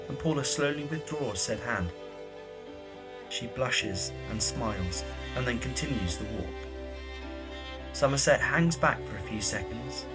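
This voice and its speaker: A man narrating an audio drama, reading scripted scene descriptions in the present tense. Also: medium pitch.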